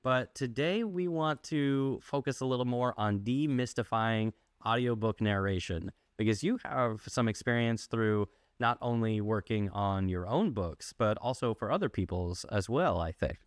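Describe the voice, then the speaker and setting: A man's voice: medium pitch, normal speed, few pauses, no room sound, clean studio sound. A man hosting a podcast, interviewing a guest in an informal, plain-spoken way. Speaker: podcast host. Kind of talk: casual interview.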